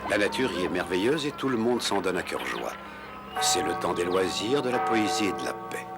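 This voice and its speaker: A man reading scripted storybook narration for an animated historical adventure film. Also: sits low, normal speed. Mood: storytelling. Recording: music under the voice.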